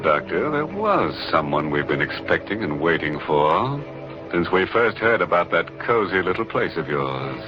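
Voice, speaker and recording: An actor playing a macabre, wryly joking horror host with a sardonic manner on 1940s radio. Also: male voice, low voice. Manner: sardonic, ghoulishly playful. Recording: muffled 1940s radio with prominent music.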